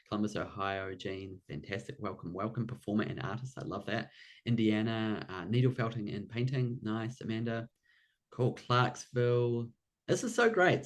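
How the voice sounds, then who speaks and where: Male workshop host, New Zealand accent, lower-pitched voice, encouraging coach who often checks for agreement. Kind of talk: live online workshop presentation.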